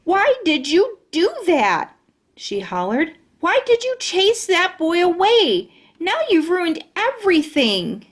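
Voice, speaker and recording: A woman's voice: sits high, expressive, slow pace, heavily acted. A woman voicing a bossy, huffy little schoolgirl who whines and demands. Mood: angry. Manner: whiny and demanding.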